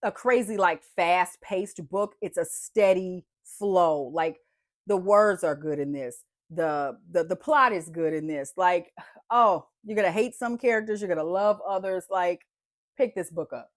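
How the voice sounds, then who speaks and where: A woman's voice: on the low side, measured pace, no echo, studio quality. A woman book vlogger with a stuffy, congested voice, chatting casually and animatedly about books she loved. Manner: chatty, animated, says "y'all" and "like" often. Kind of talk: casual book review chat.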